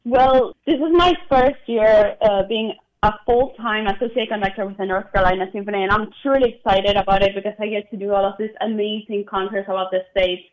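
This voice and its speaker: A woman's voice: medium pitch, steady tone, brisk, hardly any pauses, muffled recording. A woman from Argentina, a conductor chatting enthusiastically as an interview guest and laughing often. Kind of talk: broadcast interview.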